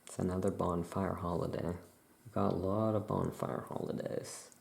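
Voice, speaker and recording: A performer voicing a forgetful, easygoing witch shopkeeper in a soft-spoken, halting ASMR roleplay, rambling absent-mindedly. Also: deep voice, fairly even intonation, vocal fry. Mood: absent-minded, relaxed.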